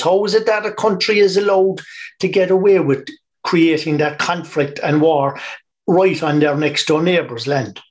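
A man hosting a podcast interview, encouraging and quick with praise for his guest. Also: fairly high pitch. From Ireland. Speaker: podcast host.

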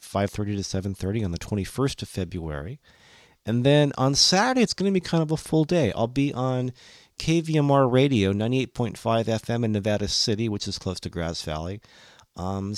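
A man hosting his own music podcast while hoarse from a cold, chatting casually and rambling with frequent restarts. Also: mid-range voice, darkish tone, no room sound, clean studio sound. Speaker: podcast host. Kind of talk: casual solo podcast talk.

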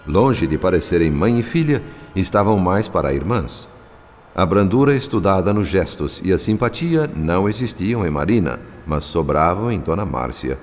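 Deep Brazilian male radio-drama narrator. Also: sing-song, normal speed, darkish tone. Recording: long reverb, music underneath. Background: music.

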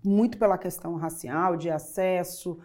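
A woman's voice: on the low side, fairly even intonation, normal speed, dark tone. A middle-aged woman from Bahia, talking as an interview guest in an earnest, reflective way. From Bahia, Brazil. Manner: reflective, earnest, with fillers and restarts. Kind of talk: interview conversation.

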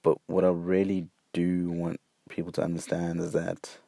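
A young man with a very low, mellow voice talks slowly and earnestly into a close, dry mic. He hesitates often and repeats himself as he gathers his thoughts. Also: completely flat delivery, gravelly, no echo. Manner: earnest and hesitant, with frequent fillers and repeated words. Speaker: podcast host. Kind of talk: reflective solo podcast monologue.

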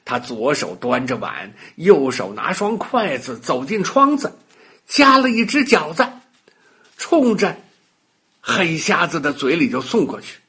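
A man telling a children's bedtime story in a dramatic, expressive way, with exclamations and sound words. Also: a little high, measured pace, breathy edge.

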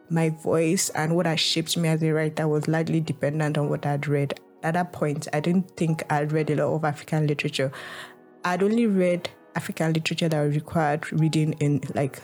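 A woman's voice: low voice, a little mellow. A young Nigerian woman with a Nigerian English accent, hosting a podcast in a casual, reflective monologue, full of "like" and restarts. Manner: rambling, heavy use of "like" and restarts. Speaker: podcast host.

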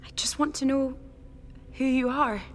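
High-pitched, frightened, defiant young woman. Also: fairly even intonation, a little slow, faint music behind. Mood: demanding. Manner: urgent, exclamatory, insistent. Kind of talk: gothic audio drama acting.

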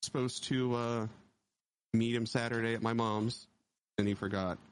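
Teasing, foul-mouthed podcast host. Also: male voice, mid-range voice, moderate pace, clear, resonant tone, rough recording. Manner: animated storyteller, teasing, heavy swearing. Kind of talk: casual chat.

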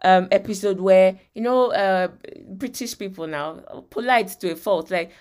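A woman's voice: mid-range voice, normal speed, darkish tone. Emphatic Nigerian woman teaching on faith. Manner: emphatic, repeats phrases and checks in with listeners. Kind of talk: motivational faith teaching with personal testimony.

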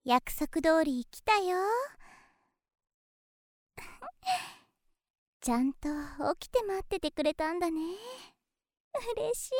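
A woman's voice: high-pitched, no echo, clean studio sound. An actress playing a sweet, girlish young nurse in an anime-style voice drama, cutesy, polite, lovestruck and clingy. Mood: adoring, sweet. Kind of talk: Japanese anime-style situation voice drama acting.

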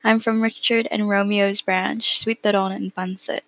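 A teenage girl reading her own poem aloud in a flat, even way. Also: mid-range voice, moderate pace. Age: teens. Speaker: student.

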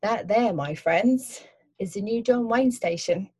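A woman's voice: mid-range voice, expressive, a little slow, mellow, breathy edge, pitched above the performer's own voice. Woman putting on a cheeky cockney cabbie guy, matey, full of rhyming slang. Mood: chummy. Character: cheeky Cockney cabbie, middle-aged man.

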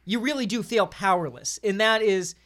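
Podcast panelist, mid pitch, casual gaming chat, rambling, stammering, repeated phrases. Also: moderate pace, fairly bright tone. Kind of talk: casual chat about video games.

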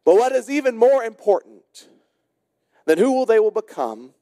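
An American man, a pastor, delivering a sermon in deliberate short phrases with rhetorical repetition. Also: very high-pitched, big pitch swings, dark tone, dry recording, clean studio sound. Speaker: senior pastor.

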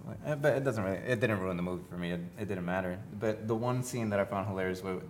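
A man's voice: deep voice, fast, slight background noise. A man co-hosting a casual, conversational film discussion. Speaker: podcast co-host.

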